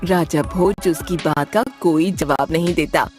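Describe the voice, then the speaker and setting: A woman's voice: low-pitched, fairly even intonation, unhurried, a little mellow. A woman narrator reading a scripted moral story for a cartoon. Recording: music behind the voice.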